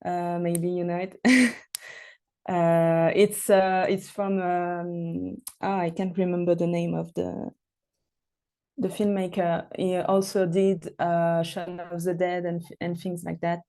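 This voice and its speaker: Female panelist, young adult, French accent, soft dark tone, slow hesitant casual delivery. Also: on the low side, level tone. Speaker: panelist, beer writer. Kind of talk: casual personal account on a panel.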